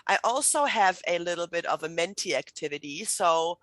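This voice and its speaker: A woman's voice: on the low side, expressive, normal speed, a bit bright, no room sound. A middle-aged woman from Germany, German native, giving a chatty online writing workshop with many fillers and restarts. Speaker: writing instructor. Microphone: video call.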